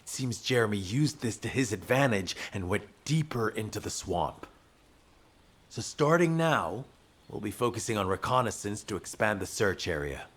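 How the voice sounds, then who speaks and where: An actor playing an earnest, analytical military field operative in a video game, explaining things in a husky, measured way. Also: male voice, lowish voice. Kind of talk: video game cutscene acting.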